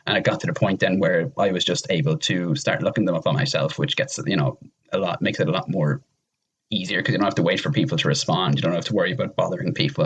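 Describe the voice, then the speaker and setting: A man's voice: low-pitched, husky. A man in casual podcast conversation, talking in a rambling, conversational way as he gives advice. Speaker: podcast panelist giving language-learning advice.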